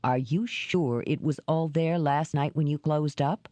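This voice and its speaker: A woman voicing a gruff, remorseful male ex-cop in an audiobook, speaking low, slow and measured. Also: mellow, no echo. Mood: probing. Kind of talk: audiobook character reading.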